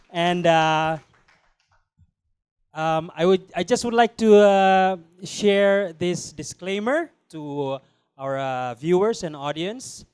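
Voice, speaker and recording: A man's voice: a little high, clear, resonant tone. A man hosting a studio panel talk show, welcoming guests and introducing the program with many hesitant fillers.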